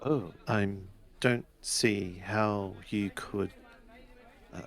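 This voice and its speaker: A man playing a polite, measured traveller in a samurai-era tabletop roleplaying game, speaking formally and tentatively. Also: on the low side.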